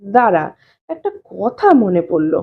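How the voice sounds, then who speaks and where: A woman's voice: medium pitch, big pitch swings, a little slow, voice pushed down. A woman reading an audio story as a nosy, concerned older brother-in-law, coaxing and questioning in a familiar tone. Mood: probing. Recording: thin phone-like narrow-band sound.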